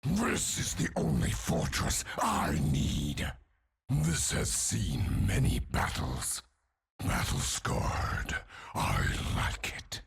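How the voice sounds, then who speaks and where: Hulking undead game villain: very slow, husky, guttural growl, bloodthirsty and menacing. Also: male voice, a bass voice, wildly swooping pitch, heavily acted. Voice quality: gravelly, guttural, raspy. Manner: slow, grim, menacing delivery. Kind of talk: video game character voice lines.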